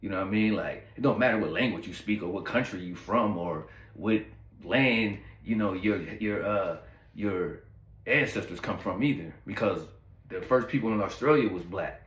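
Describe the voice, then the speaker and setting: A man hosting his own channel, telling a story in a relaxed, rambling way, full of fillers and asides to the viewers. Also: sits low, normal speed, roomy sound. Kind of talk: casual storytime monologue.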